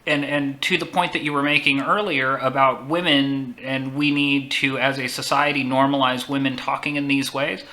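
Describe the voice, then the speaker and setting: A man hosting a casual chat, speaking in a measured, deliberate way. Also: mid-range voice, level tone, sharp tone, low-quality recording. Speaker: host.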